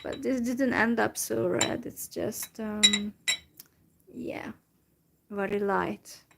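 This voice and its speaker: A young woman from Central Europe chatting casually to her viewers in a halting way, with many fillers and restarts. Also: medium pitch, gravelly, very noisy. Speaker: vlogger. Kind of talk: casual solo chat to viewers.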